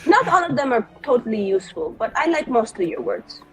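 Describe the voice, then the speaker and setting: A woman's voice: fairly high pitch, big pitch swings, brisk, fairly bright tone, soft background music, low-quality recording. A woman playing a very confident, spiteful female mage with sarcastic, mock-formal delivery in an improvised tabletop game. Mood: smug, sarcastic.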